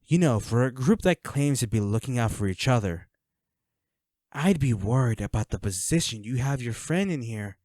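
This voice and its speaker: A man playing a flirty, menacing villain in an audio roleplay, speaking to the listener with taunting, mock-sympathetic, unhurried delivery. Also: mid-range voice, animated, darkish tone, no echo, studio quality, close to an everyday voice. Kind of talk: scripted audio roleplay acting addressed to the listener.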